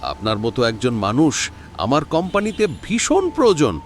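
A man's voice: medium pitch, wildly swooping pitch, normal speed, slight background noise. An actor playing a wealthy, generous businessman with an emphatic, effusive manner. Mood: grateful, admiring.

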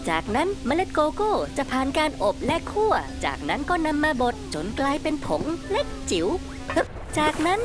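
A woman's voice: sits high, big pitch swings, normal speed. A woman voicing a cheerful female chocolate bar in a cartoon dub, sweet and bubbly as she explains things step by step. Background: cartoon music.

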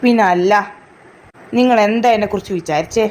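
A woman's voice: mid-range voice, a little fast, very noisy, lightly acted. A woman voicing a cocky, self-assured older girl in a comedy cartoon. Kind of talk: Malayalam comedy cartoon dialogue.

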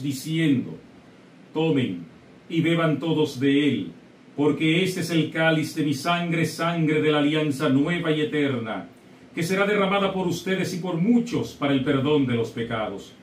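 A middle-aged man with a Dominican Spanish accent, a priest leading Mass in solemn, measured liturgical prayer. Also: a little high, expressive. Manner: solemn, measured liturgical recitation, also saying the people's responses. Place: small studio before a backdrop. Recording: roomy, slightly distant mic with faint hiss.

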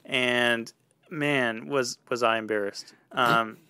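A man's voice: mid-range voice, steady tone, slow pace, pushed and bright, smooth, dry recording. Man telling a casual personal story. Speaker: podcast storyteller.

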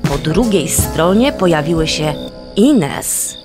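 A woman's voice: deep voice, lively intonation, slow pace, a little mellow. A woman playing the storyteller, narrating a scripted children's barnyard tale. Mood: measured storytelling. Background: music.

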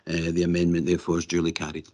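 A man formally announcing the result of a council vote in an even, deliberate way. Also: deep voice.

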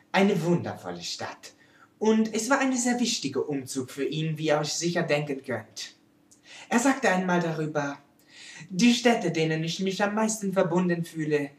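A pompous, self-important French artist played by a woman presents a comic lecture in a French accent. The delivery is slow and theatrical, swooping up and down, with slightly mangled grammar. The room echoes around the voice. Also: on the low side, darkish tone. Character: vain, theatrical French male artist and poet, Luc Pierre. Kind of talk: comic in-character art history lecture. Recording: roomy, echoing amateur recording.

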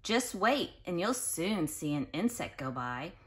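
Expressive low-pitched woman reading to children. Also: a little slow. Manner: expressive, addressing children with questions. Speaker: teacher reading a picture book to young children. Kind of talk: read-aloud with explanatory asides to children.

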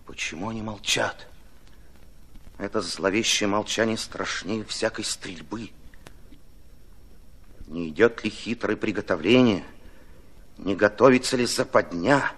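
An actor playing a thoughtful Red Army commissar who narrates a battle in measured, literary, dramatic style. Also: male voice, fairly low pitch, a bit bright. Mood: watchful, uneasy.

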